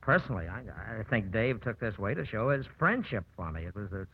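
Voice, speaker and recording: Trusting, earnest political husband, 1950s radio style, animated and slightly rough, dry muffled old recording. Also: male voice, lowish voice, a little fast, no echo. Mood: puzzled, earnest. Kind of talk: 1950s radio drama acting.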